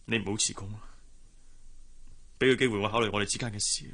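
An actor playing a lovesick, jealous master of the house in a Hong Kong Cantonese radio drama, intense and pleading. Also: male voice, on the low side, fairly even intonation, quick pace, a bit bright. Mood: apologetic, pleading.

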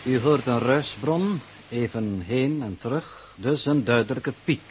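A man's voice: medium pitch, expressive, a little mellow, a little hiss or hum, close to an everyday voice. Actor as formal, precise male space mission coordinator. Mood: measured, explanatory. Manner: formal, measured, pedantic wording. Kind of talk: 1960s Dutch radio drama acting.

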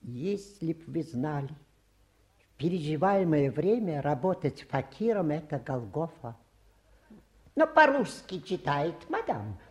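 Pompous, swooping travelling fakir. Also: male voice, fairly high pitch, big pitch swings, darkish tone. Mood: fussy, boastful. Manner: pompous, halting, fussy theatrical patter. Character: touchy, boastful male travelling fakir and showman. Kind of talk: radio play acting.

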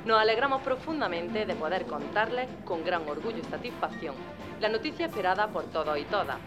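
A woman's voice: medium pitch, lively intonation, brisk, close to an everyday voice. A woman playing a proud propaganda news announcer in a radio drama, formal and declamatory. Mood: proud, triumphant. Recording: loud music over the voice.

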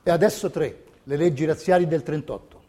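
A man with a mellow, soft-edged voice pitched a little high, speaking. He narrates a scripted theatre text, phrasing it in a measured and deliberate way. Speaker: stage narrator.

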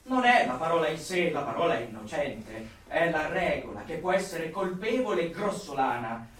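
Radio drama: fanatical party ideologue, high and animated, declamatory, prone to exalted outbursts. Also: male voice, moderate pace, far from the mic. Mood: cold, pedantic. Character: fanatical, grandiose party intellectual compiling a dystopian dictionary. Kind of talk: theatrical radio drama acting. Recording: very echoey room, off the mic.

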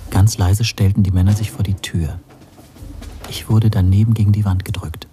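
An actor as the first-person narrator of a crime radio play, telling it in a measured, literary way. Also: male voice, fairly low pitch, fairly even intonation, mellow, close to an everyday voice. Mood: suspenseful narration. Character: man recounting a tense night police raid. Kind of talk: scripted radio drama narration. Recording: music behind the voice.

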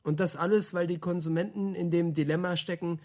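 A man's voice: high-pitched, fairly even intonation, brisk, darkish tone, close to an everyday voice. Actor as casual, curious male radio interviewer. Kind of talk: naturalistic radio drama dialogue.

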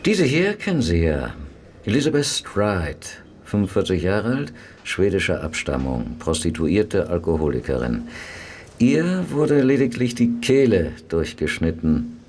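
A dry, grumbling pathologist in a radio play. He speaks in a fairly low voice with clinical detachment, over slight background noise. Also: big pitch swings, unhurried, darkish tone. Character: matter-of-fact, grumbling male police pathologist. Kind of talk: German radio play acting.

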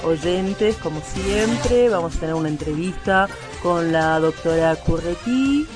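Actress as eager Argentine radio hostess, music behind. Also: female voice, lowish voice, animated, a little slow. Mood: upbeat presenting. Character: female radio host, courteous, eager, earnest. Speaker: radio host interviewer. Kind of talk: satirical radio theatre interview.

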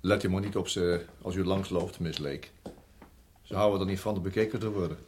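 An actor playing an awkward, kindly prison guard in a radio drama, stumbling hesitantly over delicate words. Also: male voice, deep voice, steady tone, a little fast, a little mellow, lightly acted. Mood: hushed, uneasy. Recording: noisy old radio play recording.

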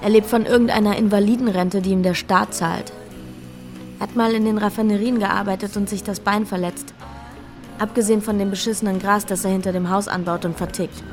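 Actress as troubled young runaway, music behind. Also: female voice, medium pitch, steady tone, brisk, darkish tone, close to an everyday voice. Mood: bitter, confiding. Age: young adult. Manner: plain-spoken, slangy. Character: troubled, unsure young runaway woman, streetwise and wounded. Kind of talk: crime radio drama dialogue.

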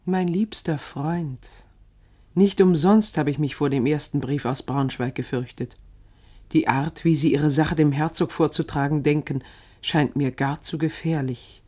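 A man's voice: a little high, steady tone, unhurried, a little mellow. A man playing a concerned, sensible letter-writer, reading a careful admonishment to a friend for radio. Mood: worried, admonishing.

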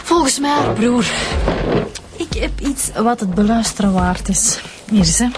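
A woman's voice: on the high side, animated, unhurried, very noisy. An actress playing a keen, matter-of-fact female police investigator in a radio drama, conversational, with a Flemish accent.

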